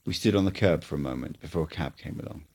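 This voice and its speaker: A man narrating a first-person audiobook as an amateur reader, with steady, earnest delivery. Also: rumbling low, fast.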